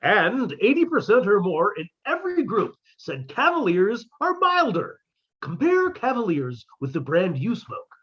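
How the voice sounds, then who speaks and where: A performer voicing an upbeat 1950s radio advertisement announcer with an emphatic sales pitch. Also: a bit bright, breathy edge, exaggerated voice. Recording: dull lo-fi audio.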